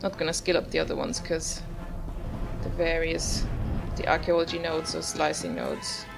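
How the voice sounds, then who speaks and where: Female gamer, casual commentary, offhand manner, gravelly vocal fry, loud music behind. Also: low-pitched, flat delivery, moderate pace. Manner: offhand, musing aloud. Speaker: gaming video host, player. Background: loud game music.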